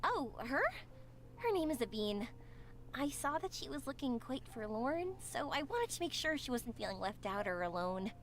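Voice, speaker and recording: An actress voicing a cheerful, talkative young woman in a game, speaking in a bright, encouraging way. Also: a little high, sing-song, moderate pace. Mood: thoughtful. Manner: bright, encouraging, sing-song. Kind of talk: game voice acting.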